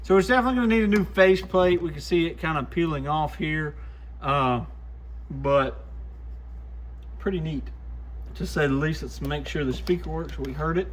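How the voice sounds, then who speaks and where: Higher-voiced man, casual and folksy. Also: normal speed, long pauses, slight background noise. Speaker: host, CB radio shop technician testing used radios. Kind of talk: casual hands-on product testing commentary.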